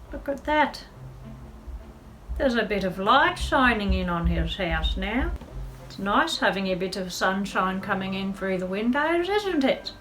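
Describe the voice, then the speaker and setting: A woman tells a children's picture story at an unhurried pace. Her intonation swoops widely, and she speaks directly to young listeners with music playing behind her. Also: medium pitch, fairly bright tone, poor audio. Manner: chatty asides and questions to young listeners. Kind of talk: picture-book read-aloud.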